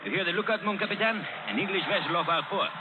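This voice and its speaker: Actor as an excitable high-voiced pirate mate. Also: male voice, fast, dull audio, natural voice. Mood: excited. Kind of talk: 1930s radio drama acting. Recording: very noisy old radio recording.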